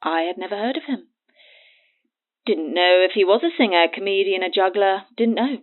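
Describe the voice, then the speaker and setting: A woman's voice: mid-range voice, moderate pace. Haughty, needling woman on the phone. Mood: defensive, mocking. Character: Birdie, cagey mocking woman selling her testimony. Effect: phone line filter. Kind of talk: naturalistic audio drama acting. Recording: tinny narrow phone line.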